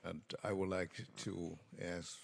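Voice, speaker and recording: A man moderating a conference panel, introducing speakers slowly and hesitantly. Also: low-pitched, level tone, vocal fry. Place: conference hall.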